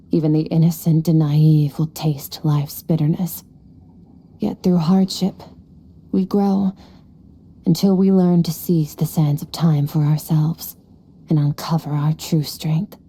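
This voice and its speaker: A woman voicing an aloof, solemn, ageless swordswoman in a video game, speaking in a measured, philosophical way. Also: deep voice, steady tone, slightly rough, full character voice. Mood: solemn, reflective. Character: aloof, solemn, centuries-old swordswoman who looks youthful. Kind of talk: video game cutscene voice acting. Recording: soft background music behind the voice.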